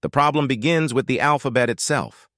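A man's voice: medium pitch, a little fast, fairly bright tone, no room sound, clean studio sound. A man reading a scripted practice passage aloud as a model answer. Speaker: exam-practice model reader.

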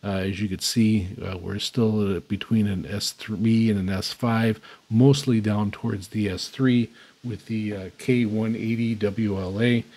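A man giving an unscripted hands-on demonstration as host, speaking haltingly with very frequent uhs. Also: lowish voice, fairly even intonation, darkish tone. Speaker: host demonstrating shortwave antennas. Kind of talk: unscripted product demonstration commentary. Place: indoors at a radio desk.

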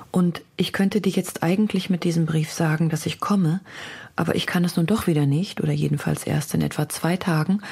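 Anguished woman poet in radio feature. Very breathy, lowish, intimate letter reading. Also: darkish tone. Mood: weary, resolute. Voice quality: breathy, half-whispered. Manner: intimate, earnest.

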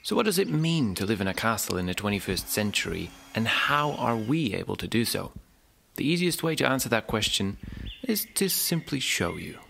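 Gravelly young man narrating a vlog. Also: on the low side, sing-song, normal speed, sharp tone. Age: young adult. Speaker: vlogger. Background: music.

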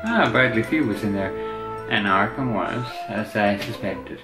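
Roomy, low-pitched young man. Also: expressive, measured pace. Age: 20s. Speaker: reaction video host. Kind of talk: casual reaction commentary. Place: indoor room at home. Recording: roomy, music from video behind. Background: music from the comic dub being watched.

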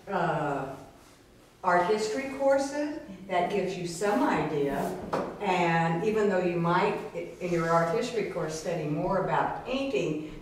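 A woman with a slightly low voice, speaking slowly and giving advice on a panel. She is heard from far off in a strongly echoing room. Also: a little hiss or hum. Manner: unhurried, advising. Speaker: print collector on a panel. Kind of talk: casual panel discussion. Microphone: far camera.

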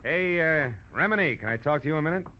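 A man's voice: a little high, lively intonation, quick pace, a little hiss or hum, lightly acted. A man playing a wry, tough American private detective in a 1940s-style radio drama, wisecracking as he narrates his case. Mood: dry banter. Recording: dull old radio recording with music.

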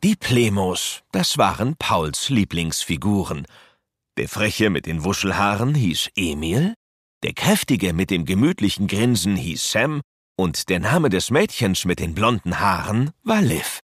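A man's voice: sits low, big pitch swings, a little slow, slightly rough, no echo, clean studio sound. A man narrating a scripted children's audio drama as its storyteller.

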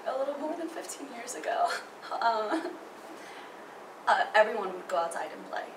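A woman's voice: a little high, sing-song, moderate pace. A young woman whose first language is not English, telling a childhood story in a chatty way with many ums. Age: young adult. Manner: chatty, many ums, laughs between phrases. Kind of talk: casual personal anecdote. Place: small indoor room by a theatre poster. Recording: roomy, distant, noisy.

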